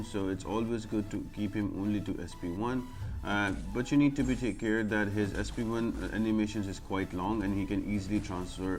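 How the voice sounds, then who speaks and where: A man's voice: lowish voice, darkish tone. A man giving casual, instructional commentary as he walks viewers through a video game. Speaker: game guide video creator. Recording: voice-over with music behind. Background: clear music.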